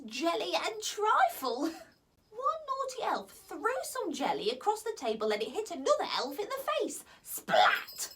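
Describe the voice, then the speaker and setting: A woman reads a children's story aloud in a high, playful voice. Her delivery swoops widely through the lines. She drops into soft whispers for the quiet moments. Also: recorded from a distance. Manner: expressive, with whispers and laughs.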